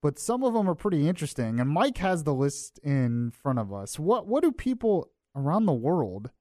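Upbeat guy hosting morning radio, kinda high voice, laughs a lot, dry booth sound. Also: normal speed, dry recording. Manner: lively, laughs often. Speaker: fill-in radio host. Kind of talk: casual morning radio chat. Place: radio studio. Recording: dry studio mic.

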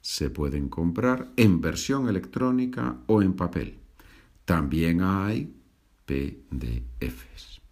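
A man's voice: low voice, animated, slow pace, a little mellow. A man hosting a language-learning podcast, patiently repeating and translating each sentence. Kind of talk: slow bilingual teaching podcast.

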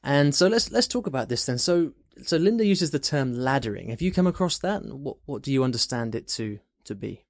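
A man's voice: mid-range voice, animated, a little fast, few pauses, no room sound, clean studio sound. A man hosting a podcast interview, recapping a listener question and asking friendly follow-ups. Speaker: podcast host.

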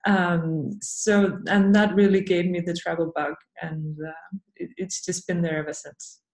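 A woman from Denmark, a podcast guest, speaking thoughtfully with many pauses and fillers. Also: fairly low pitch, steady tone, a little mellow, roomy sound, low-quality recording. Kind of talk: podcast interview.

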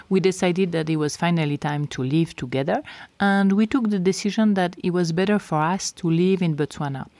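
A woman's voice: deep voice, a little mellow, no echo. A middle-aged woman from French-speaking Switzerland with a Swiss French accent, whose first language is French, hosting a casual podcast and often repeating words for stress. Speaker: podcast host and lodge co-owner.

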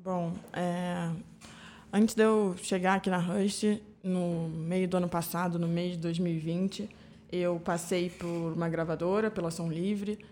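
Soft-spoken woman with faint background noise. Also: on the low side, little pitch movement, a little slow. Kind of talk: casual interview talk.

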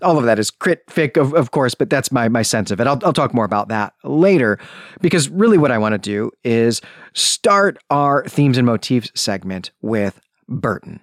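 A man's voice: mid-range voice, expressive, normal speed, no echo, clean studio sound. A man hosting a podcast, giving an informal but prepared talk in an enthusiastic, bookish and emphatic manner. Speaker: podcast host.

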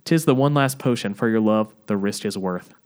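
A man's voice: fairly low pitch, moderate pace, darkish tone, natural voice. A man playing a mock-solemn barkeep peddling a potion, with an attempted Southern US accent and archaic wording. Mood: portentous. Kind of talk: amateur comic period-play read.

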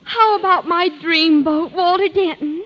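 Very high-pitched chirpy teenage girl. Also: a little slow. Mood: adoring. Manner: girlish, eager. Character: sweet, chirpy lovestruck teenage schoolgirl, principal's daughter. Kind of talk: old-time radio comedy acting. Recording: muffled vintage radio recording.

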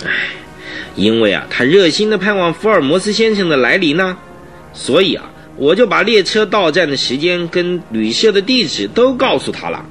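An actor playing a chatty, excitable police inspector in a radio drama, exclaiming and sighing as he talks. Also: high-pitched, moderate pace, soft background music. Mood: excited. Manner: exclamatory, full of sighs and interjections. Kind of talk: Mandarin radio drama acting.

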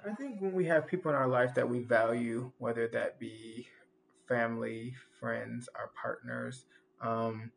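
A man's voice: mid-range voice, measured pace, vocal fry, slight background noise. A man co-hosting a casual podcast chat, reflective and rambling, often restarting his sentences.

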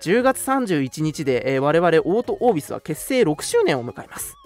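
A man's voice: a little high, big pitch swings, a little fast, darkish tone, faint music behind. A man hosting a radio show, giving announcements in a polite, chatty way. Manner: polite, chatty, frequent interjected particles. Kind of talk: casual scripted-segment radio announcements.

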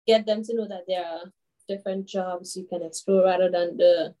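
A woman in her twenties from Grenada, a guest telling stories in a casual interview, chatty and animated with many fillers. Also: lowish voice, moderate pace. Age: 20s. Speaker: guest, children's author.

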